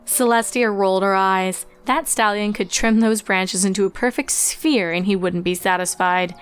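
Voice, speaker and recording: A woman's voice: mid-range voice, normal speed, clear, resonant tone. A woman reading scripted storybook narration as the narrator of a fan fiction tale. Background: music.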